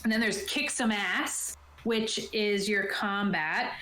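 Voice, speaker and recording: A woman's voice: mid-range voice, takes it slowly, bright, forceful tone. A woman game master casually explaining tabletop game rules in an informal, conversational way. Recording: roomy, echoey voice sound.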